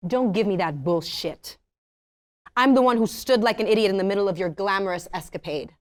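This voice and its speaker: A woman's voice: mid-range voice, fairly quick, close to an everyday voice. A woman playing a fierce, wounded woman in her fifties, dramatically accusing her lover in a comedy script reading. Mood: furious. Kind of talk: comedy script table read.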